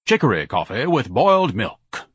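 A man's voice: mid-range voice, sing-song, normal speed, a bit bright, slightly rough, no room sound, studio quality. A man voicing a pompous, hypochondriac, very large grown son, grandiose and complaining, in an audiobook. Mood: grand disdain. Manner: grandiose, over-formal, complaining. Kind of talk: audiobook character voice.

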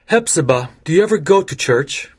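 Man acting out childlike melancholy man, husky voice, simple wistful phrasing, storybook character. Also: on the high side, animated, a little fast, a little mellow, pitched above the performer's own voice.

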